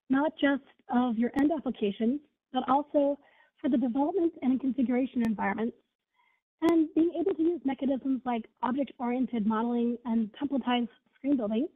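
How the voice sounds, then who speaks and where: A woman with a scratchy voice from being ill presents a webinar as a product manager, in an upbeat way with many fillers like "you know" and "right". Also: high-pitched. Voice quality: husky. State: scratchy voice from illness. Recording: tinny phone-line audio.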